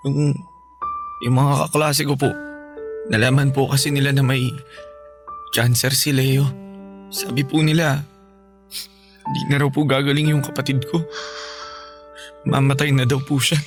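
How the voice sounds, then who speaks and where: A man acting as a worried schoolboy in a Filipino radio drama. He talks haltingly and innocently, at a middling pitch, with faint music underneath. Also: flat delivery, lightly acted. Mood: worried, hesitant. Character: caring, worried schoolboy, older brother and polite son.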